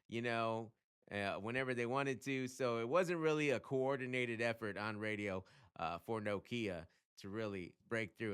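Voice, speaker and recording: A man giving casual solo commentary as a radio insider, talking in a slangy, animated way with a readiness to chuckle. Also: mid-range voice, smooth. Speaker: radio programmer and music commentator.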